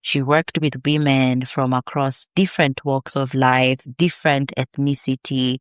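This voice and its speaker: A woman with a Kenyan accent, a podcast guest and researcher, telling her story in a measured, earnest way. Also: rumbling low, level tone, clean tone, dry recording, studio quality. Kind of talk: reflective interview answers.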